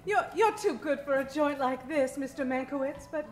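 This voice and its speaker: An actress playing an eager, flustered small-town waitress in an old-time radio comedy, speaking in a gushing, hesitant, earnest way. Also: female voice, high voice, normal speed, faint music behind, lightly acted. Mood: bright, excited.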